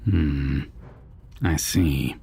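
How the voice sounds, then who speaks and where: A man playing a menacing, condescending vampire captor in a roleplay audio drama, talking in a mocking, deliberate way with husky, breathy whispers. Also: extremely low pitch, mellow, heavily acted. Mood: cool, mocking. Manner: slow, deliberate, mocking, formal phrasing.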